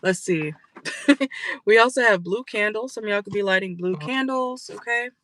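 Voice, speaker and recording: A tarot reader talks straight to viewers from a parked car, blunt and freely swearing, with "okay?" capping almost every point. The voice is bright and sharp-edged but starting to wear thin. A faint hiss runs underneath. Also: medium pitch, moderate pace. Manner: blunt and profane, tags lines with "okay?". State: losing voice. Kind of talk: casual tarot reading for viewers.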